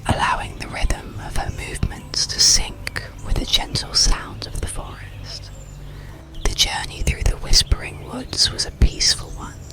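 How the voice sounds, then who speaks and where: A storyteller narrating an ASMR bedtime story in a soothing, deliberate whisper. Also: rumbling low, gravelly. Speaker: audiobook storyteller.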